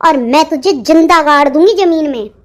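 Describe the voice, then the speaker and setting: A very high, almost childlike voice from a woman plays a stern middle-aged mother in a cartoon family drama. She nags, orders and threatens, bossy and melodramatic in her delivery. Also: normal speed, natural voice. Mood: angry, threatening. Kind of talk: melodramatic Hindi cartoon dialogue.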